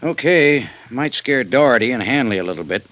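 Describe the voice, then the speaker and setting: An actor playing a wary, loyal helper in 1950s radio drama style, concerned and cautioning. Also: male voice, mid-range voice, lively intonation, brisk, a bit bright, natural voice. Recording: dull old radio transcription.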